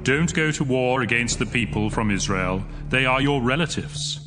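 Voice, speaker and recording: An actor playing a commanding, solemn God, declamatory and authoritative, in a dramatised scripture reading. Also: male voice, medium pitch, animated, moderate pace, over music, close to an everyday voice. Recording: long hall reverb.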